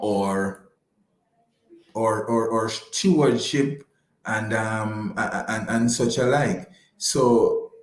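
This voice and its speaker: A man with a fairly low voice talks as an advisor being interviewed. He builds his points haltingly, doubling back on words. The sound is noticeably roomy and echoing. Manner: halting, repeats words while forming points. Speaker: guest financial advisor. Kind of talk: conversational interview answers on faith and finances.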